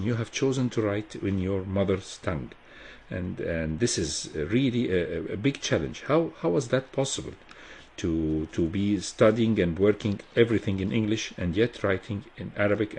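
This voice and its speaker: A man hosting a radio interview, asking long, formal questions with many halting fillers. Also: lowish voice, expressive, darkish tone, a little hiss or hum. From Egypt. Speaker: radio show host. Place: radio studio.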